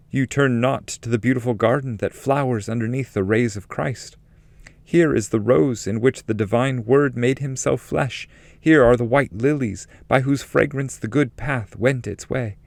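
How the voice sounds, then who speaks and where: A man's voice: medium pitch, normal speed, vocal fry, dry recording, voice pushed up, natural voice. A man voicing Beatrice, a radiant, commanding heavenly woman guide, in an earnest, declamatory reading of epic poetry. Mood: urging.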